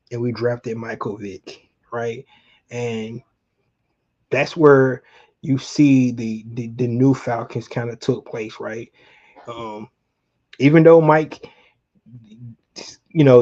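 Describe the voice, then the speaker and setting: Sports stream host: middle-aged man, relaxed mellow voice, colloquial fan chat, slightly muffled lo-fi audio. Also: mid-range voice. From Atlanta, Georgia, United States. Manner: conversational, addresses viewers directly, colloquial. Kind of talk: casual fan chat, reminiscing about sports.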